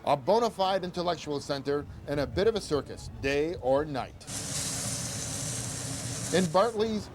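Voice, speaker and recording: A man's voice: on the high side, lively intonation, unhurried, pauses often, music in the background. An older man hosting a travel show, giving upbeat, conversational tour-guide narration.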